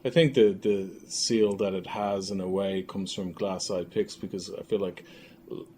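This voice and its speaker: A man chatting casually as an interview guest, halting as he thinks aloud. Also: deep voice, steady tone, darkish tone. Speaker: interview guest, audio drama creator. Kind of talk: casual chat. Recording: faint background room noise.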